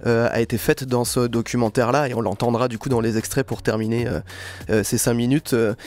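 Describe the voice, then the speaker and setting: A man hosting a radio interview, framing his questions in a halting, unscripted way with repeated words. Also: medium pitch, steady tone, darkish tone.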